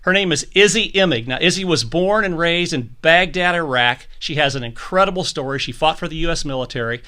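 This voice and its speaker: A man hosting a talk podcast with a bright, forceful tone and a slightly high pitch. He is eager and chatty, stumbling and restarting his sentences as he sets up the guest. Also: lively intonation, a little fast, clear, resonant tone. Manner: enthusiastic, with frequent restarts and stumbles. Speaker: podcast host. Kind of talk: conversational interview hosting.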